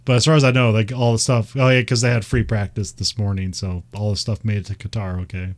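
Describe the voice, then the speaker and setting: A man's voice: on the low side, little pitch movement, quick pace. A man with a Midwestern American accent, chatting casually and rambling, with frequent laughs and fillers. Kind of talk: casual chat about racing.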